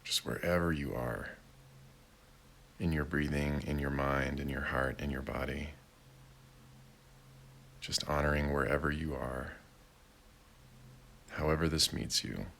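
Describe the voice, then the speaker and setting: A man leading a guided meditation in a gentle, deliberate way, with long pauses between short phrases. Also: rumbling low, fairly even intonation, vocal fry, a little hiss or hum. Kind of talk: guided meditation, slow and unhurried.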